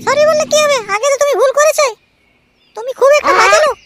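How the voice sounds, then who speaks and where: A man's voice: extremely high pitch, quick pace, fairly bright tone, soft background music. A man voicing a hot-tempered middle-aged village housewife in a comic cartoon, with a rural West Bengal accent and an electronically raised voice. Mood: angry, scolding. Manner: scolding, challenging.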